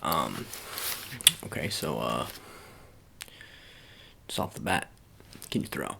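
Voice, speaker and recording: A man's voice: very deep voice, animated, slow pace, creaky, very noisy, full character voice. A man playing an awkward, politely doubtful sports agent in an improvised ASMR roleplay, stammering and repeating his phrases as he talks to the listener. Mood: hesitant, encouraging.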